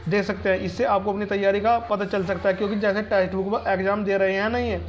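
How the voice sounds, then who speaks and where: Fast, high-pitched man. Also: flat delivery, slight background noise. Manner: repetitive, emphatic with self-posed questions. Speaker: host of an exam-preparation advice channel. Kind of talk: informal advisory talk to viewers. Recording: dull, lo-fi sound.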